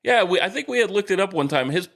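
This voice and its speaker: A man's voice: high voice, animated, fast, gravelly, a bit bright. A man co-hosting a sports podcast, chatting casually with slangy, profane fan talk.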